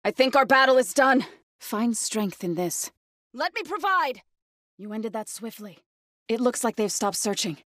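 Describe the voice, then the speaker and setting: Female game warrior companion: earnest, high register, clipped emphatic combat lines, close dry studio sound. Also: lively intonation, a little slow, a bit bright, no room sound. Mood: calm, supportive. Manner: short, emphatic battle callouts. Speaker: video game character.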